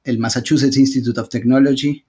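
A man's voice: medium pitch, a little fast. Man hosting a podcast interview. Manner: relaxed, with hesitations and fillers. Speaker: podcast host and sociologist. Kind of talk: conversational interview.

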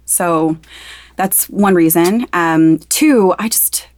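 A woman's voice: on the low side, slow, darkish tone, dry recording. A woman hosting a podcast interview, talking in an enthusiastic, effusive way. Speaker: podcast host.

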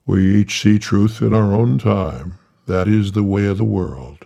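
Man doing a fake angel, slow deep solemn commands, gravelly. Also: warm tone. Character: trickster posing as a booming archangel. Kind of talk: storytelling.

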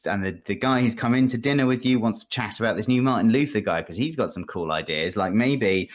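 A man's voice: on the low side, a little fast. A man chatting casually as a guest on a gaming podcast, explaining things in a jokey, rambling way.

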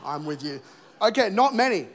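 A young man preaches with an Australian accent, though he was born in England. His voice sits high, and he keeps the sermon light with jokes at his own expense. There is a slight roughness in his throat. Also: big pitch swings, moderate pace, a bit bright. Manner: jokey, self-deprecating, acts out voices. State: voice a bit rough. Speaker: pastor. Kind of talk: humorous sermon. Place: church auditorium.

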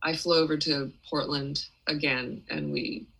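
A young woman from Taiwan speaks in a low yet bright, forward voice. She answers interview questions in a loose, chatty way, restarting her sentences and scattering fillers as she goes. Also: level tone, a little slow, creaky, breathy edge, rough recording. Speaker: musician guest.

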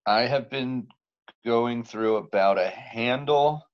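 A man's voice: mid-range voice, dull audio. A young man with an average voice talks crudely and teases his co-host, laughing often. His voice comes through a video call. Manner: teasing, crude, quick to laugh. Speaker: podcast co-host. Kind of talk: casual chat.

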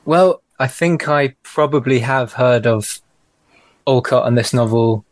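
A man's voice: medium pitch, a little slow, dry recording. A man talks as a guest on a podcast, thinking aloud and circling back on his phrases. His voice sits mid-range and sounds close and dry, with no room around it. He joins over a remote call. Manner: thoughtful, hesitant, with restarts and laughs. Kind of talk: casual podcast conversation.

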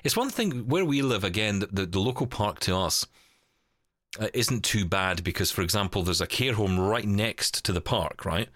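A man's voice: fairly low pitch, expressive, moderate pace, a bit bright, no echo, studio quality. A man in casual, reflective conversation, explaining things in a rambling way with tag questions. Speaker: podcast co-host.

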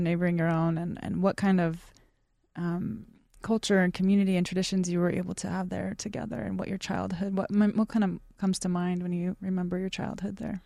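A woman's voice: on the low side, gravelly, warm tone, no echo, studio quality. A woman hosting an interview, asking open questions in a conversational way. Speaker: interviewer, host.

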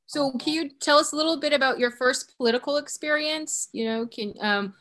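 A woman co-hosting a podcast, asking rambling, halting questions in a casual interview. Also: high voice, a bit bright. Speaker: podcast co-host.

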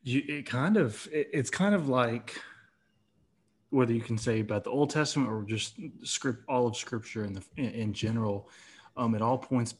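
Young male author guest, mid-pitched, earnest and halting, plenty of restarts. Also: breathy edge. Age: 20s. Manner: earnest, with frequent restarts and fillers.

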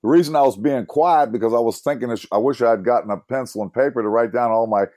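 A middle-aged man from New Orleans with a loud, resonant voice, joking wryly as a podcast guest. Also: mid-range voice, fast talker. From New Orleans, United States. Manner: joking, wry. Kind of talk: casual banter.

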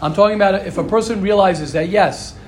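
A man's voice: sits high, fairly quick, darkish tone, slight background noise. A married man giving an informal religious talk as a Torah teacher, earnest and rambling, repeating phrases and adding asides. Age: adult, married with kids.

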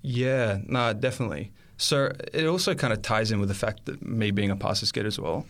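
A man sharing his faith testimony as an interview guest, earnest and reflective, pausing often as he thinks aloud. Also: fairly low pitch, a bit bright.

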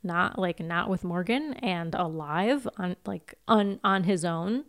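A woman's voice: fairly low pitch, slow pace. Female podcast co-host, clean resonant tone, chatty and informal recapping. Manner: informal, giggly, recaps from notes. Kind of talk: casual TV episode recap chat.

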